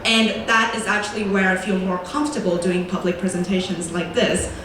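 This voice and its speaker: A young woman from Vietnam, a native Vietnamese speaker, gives a prepared talk at a middle pitch. She speaks in a clear, measured way and leans on key words. She is picked up from a distance in a large room with heavy echo and a faint hum of ambience. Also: steady tone. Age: young adult. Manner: clear, deliberate, slows to stress key words. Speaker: student presenter. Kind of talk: prepared lecture presentation. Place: large echoey lecture room. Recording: very echoey room, voice from far off.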